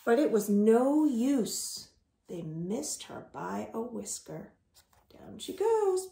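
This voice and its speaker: A woman reading picture books aloud to young children at home, very expressively, with drawn-out words. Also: fairly high pitch, gravelly. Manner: expressive, with drawn-out words, sound effects and gasps. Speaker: children's storytime reader. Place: indoors at home. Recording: roomy, echoing home recording.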